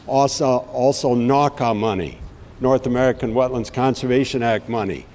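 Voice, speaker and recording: A middle-aged man with a raspy voice giving an earnest speech at a rally in a rhythmic, declamatory way. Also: mid-range voice, moderate pace, a little mellow, big-room sound. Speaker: outdoor TV host giving a speech.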